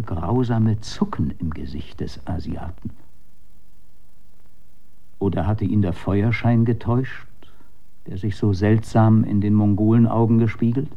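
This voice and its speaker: A man narrating a literary radio story in a dramatic, measured and suspenseful way, letting phrase endings drop to a hush. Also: lowish voice. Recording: slightly dull radio recording.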